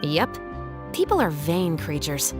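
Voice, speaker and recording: A woman playing a dutiful young patrol captain in a video game, measured, matter-of-fact and wry. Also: sits low, very animated, loud music behind the voice, heavily acted. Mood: matter-of-fact, explanatory. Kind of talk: video game character acting.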